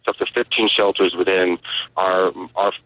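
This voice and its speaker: A man, a spokesman giving halting, informative answers in a live phone interview over a tinny line. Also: low-pitched, fairly even intonation, dry recording. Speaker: relief agency spokesman. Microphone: telephone.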